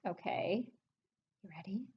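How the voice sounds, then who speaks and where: A woman hosting a children's story time, reading aloud in a sing-song, animated way and prompting young listeners with questions. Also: mid-range voice, unhurried, lo-fi sound, heavy background noise.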